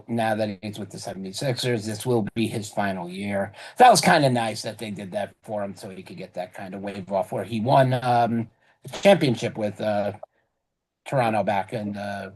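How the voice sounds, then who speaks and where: A man co-hosting a casual sports podcast, rambling and correcting himself, his voice going a little hoarse. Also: mid-range voice, a little mellow, rough recording. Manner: rambling, self-correcting, chatty. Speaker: podcast co-host.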